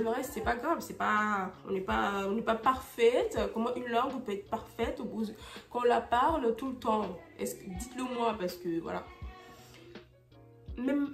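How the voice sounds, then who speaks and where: Woman vlogger, French as second language, chatty with fillers, slightly high voice, distant mic, soft music behind. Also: gravelly. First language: French is her second language. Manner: chatty, many fillers and restarts, drops English phrases. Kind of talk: casual chat while tidying. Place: her bedroom, indoors. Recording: roomy and distant, with faint music.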